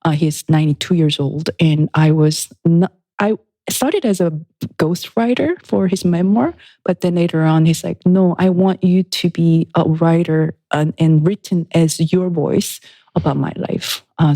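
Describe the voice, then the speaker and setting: Studio guest: woman, reflective and unhurried, mellow voice, dry close mic. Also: deep voice, dry recording, low-quality recording. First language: Korean. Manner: reflective, unhurried, with soft laughs and restarts. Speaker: guest pianist and author. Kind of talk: thoughtful conversational interview.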